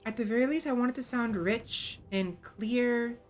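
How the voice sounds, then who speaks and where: A woman streamer chatting casually in a soft, hesitant voice with a gravelly vocal fry, talking close to her microphone. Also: on the high side, moderate pace, faint music behind. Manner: soft, hesitant, self-reflective, many restarts. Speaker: VTuber streamer. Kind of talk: casual chat while livestreaming a game. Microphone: close desk mic.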